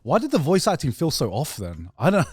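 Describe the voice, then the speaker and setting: Lively man in casual debate, close and dry. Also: a little high, a little fast, slightly rough, no echo. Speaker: podcast host.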